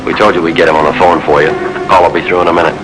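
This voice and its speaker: An actor playing a terse, unflappable American police detective who also narrates in a clipped, deadpan way in an old radio drama. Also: male voice, deep voice, racing, noisy background, close to an everyday voice. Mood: steady. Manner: clipped, deadpan, matter-of-fact.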